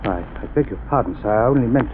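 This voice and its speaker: A man playing a nervous, suspicious laboratory chief in a 1930s radio drama, quick to accuse. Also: medium pitch, natural voice. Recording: tinny old radio broadcast with faint hiss.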